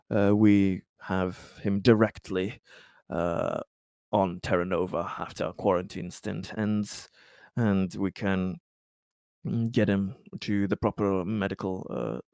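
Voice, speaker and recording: A man playing a tabletop roleplaying game, talking in character with hesitant, measured and rather formal wording. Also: deep voice, vocal fry, a little mellow, no room sound, studio quality.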